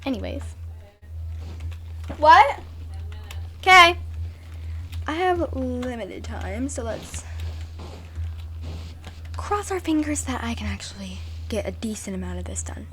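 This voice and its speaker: A woman's voice: on the high side, sing-song, slow, long pauses, noisy background. A woman hosting a gaming video with casual playthrough commentary, exclaiming and talking to the game as she plays. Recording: game music behind the voice.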